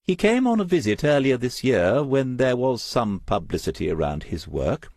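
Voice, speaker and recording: A man's voice: mid-range voice, very animated, moderate pace, darkish tone, dry recording, studio quality, voice pushed up. A man voicing a pompous, genteel female gallery owner in an audiobook, proud and affected in manner. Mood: proud, confiding. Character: genteel, self-important middle-aged female gallery owner, gushing sales talk. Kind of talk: audiobook character voice.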